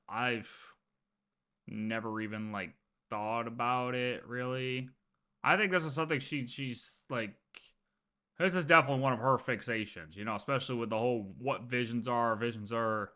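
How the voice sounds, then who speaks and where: A streamer giving casual, off-the-cuff reaction commentary in a halting way, often repeating and rephrasing. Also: medium pitch, pushed and bright. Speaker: livestreamer reacting to a video.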